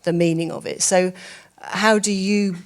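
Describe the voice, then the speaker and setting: A woman's voice: medium pitch, fairly even intonation, a little slow. A woman chairing a symposium, polite but firm as she steers the discussion. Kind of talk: moderating a discussion.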